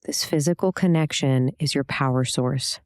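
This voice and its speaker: A meditation instructor guiding a scripted practice in a calm, soothing, gentle way, leaving long pauses. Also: medium pitch, dry recording, studio quality.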